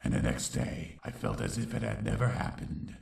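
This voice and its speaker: A man playing a grim, brooding male unicorn who tells the story of his youth in gravelly, dramatic narration, recorded with echo. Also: on the low side, big pitch swings, normal speed, darkish tone, exaggerated voice. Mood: sorrowful. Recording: roomy echo, distant voice.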